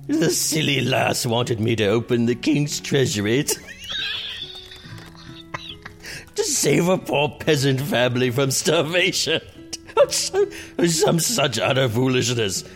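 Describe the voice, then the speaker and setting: A self-indulgent, tipsy prince who fancies himself king, with a creaky voice that swoops extravagantly. He is haughty, jovial and theatrical, as in a medieval radio play, with music underneath. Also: medium pitch, sing-song, measured pace. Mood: tipsy, amused. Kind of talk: medieval audio drama acting.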